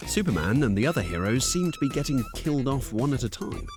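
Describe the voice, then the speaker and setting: A man's voice: mid-range voice, very animated, fast. A man reading scripted narration for a countdown video. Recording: music under the voice.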